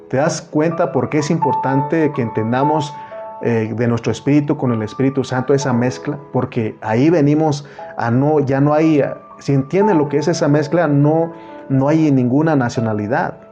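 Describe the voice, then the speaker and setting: A man's voice: mid-range voice, moderate pace, highs cut off, music in the background. A man with a Mexican accent preaching a sermon, earnest and repetitive, often calling the listener brother.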